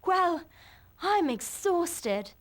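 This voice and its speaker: A woman's voice: extremely high pitch, very animated, drawn-out words, full character voice. A woman playing an eager, bossy young pupil at a wizard school in a video game, earnest and urgent. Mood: tired, earnest.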